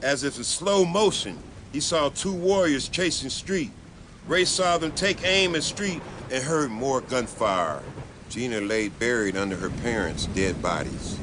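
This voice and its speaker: A man's voice: mid-range voice, animated, a little slow, background noise. A man giving a dramatic scripted reading as an audiobook narrator.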